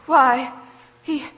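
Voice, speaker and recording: A woman's voice: high voice, fairly bright tone, heavily acted. An actress playing an insistent, suspicious, alarmed woman in 1940s radio drama style, melodramatic in delivery. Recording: live stage, long hall reverb.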